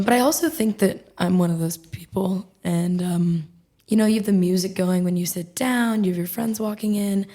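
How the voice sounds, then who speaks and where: A young woman from the United States chatting casually as a podcast guest, with "like" and "um" as fillers. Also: medium pitch. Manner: relaxed, uses "like" and "um". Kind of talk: casual discussion.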